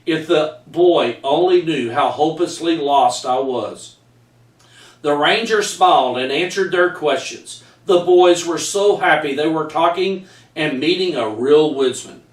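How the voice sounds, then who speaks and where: A man's voice: on the high side, unhurried, far from the mic. A middle-aged man with a Texan accent reading his own story aloud in a folksy way, recorded by a camera across a room at home. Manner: folksy storyteller, says y'all. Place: home room with framed pictures, indoors. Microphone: far camera. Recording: echoey room, voice from afar.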